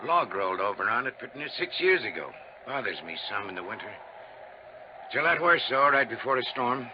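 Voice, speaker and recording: A man's voice: medium pitch, very animated, brisk, natural voice. A man playing a plain-spoken older country father with a rural American accent, folksy and ruminative, in a 1950s radio drama. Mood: folksy, musing. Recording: muffled, noisy 1950s radio recording.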